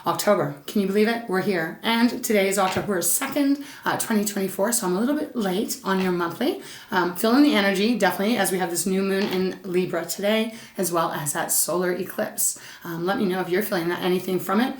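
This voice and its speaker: A woman's voice: mid-range voice, brisk, reverberant. A woman giving a casual tarot reading, chatty and soothing, her voice a little scratchy and stuffed up. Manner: chatty, soothing, addresses listener as Saggy. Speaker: tarot reader.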